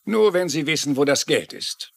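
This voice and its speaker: An actor playing a worried, polite investment adviser in a TV crime drama, speaking earnestly. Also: male voice, fairly high pitch, expressive, moderate pace, creaky, no room sound. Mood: concerned. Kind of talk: German-dubbed television crime drama.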